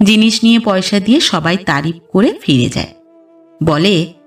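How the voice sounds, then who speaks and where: A woman reads a literary story as a scripted audiobook narration, in a measured storytelling delivery. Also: mid-range voice, far from the mic. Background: background music.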